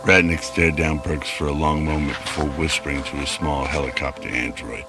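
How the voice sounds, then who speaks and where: Male narrator for radio drama; extremely low voice, scripted storytelling over clear music. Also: steady tone, moderate pace.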